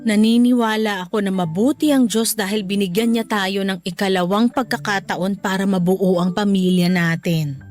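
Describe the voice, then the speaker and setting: An actress playing a warm, teasing Filipino mother and forgiving wife in a radio drama. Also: mid-range voice, steady tone, moderate pace, faint music behind, natural voice. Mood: tender, reassuring.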